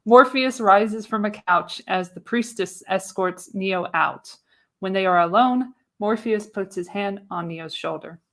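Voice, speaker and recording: A woman's voice: medium pitch, unhurried, fairly bright tone. Woman narrating a live radio play. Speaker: narrator, show host. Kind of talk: scripted narration of stage directions in a live radio play reading.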